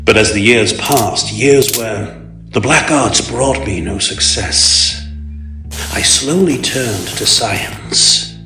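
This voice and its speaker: A man's voice: fairly low pitch, reverberant, recorded from a distance. A man voicing a proud, brooding, vengeful supervillain who recounts his youth in grave, formal narration for a comic dub. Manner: grave, deliberate, formal, theatrical.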